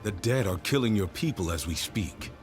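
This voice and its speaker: A man's voice: lowish voice, expressive, moderate pace, faint music behind, lightly acted. An actor playing a stern, resolute hero and protector in a video game, grave and terse in his speech. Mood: urgent, grave.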